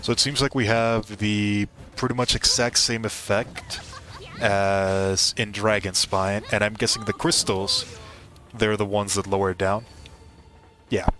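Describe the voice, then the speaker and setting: Lowish-voiced young man streaming a game. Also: steady tone, measured pace, a bit bright. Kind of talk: casual live gameplay commentary. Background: game music.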